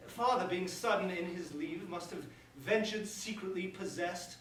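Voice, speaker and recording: A man acts out a pompous young prince, bitter and grand, in a verse comedy. The voice is high and theatrical, speaking formally. It comes from well off the mic in a strongly reverberant room with faint noise. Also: normal speed, recorded from a distance, natural voice. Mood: brooding. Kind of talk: staged reading of verse comedy.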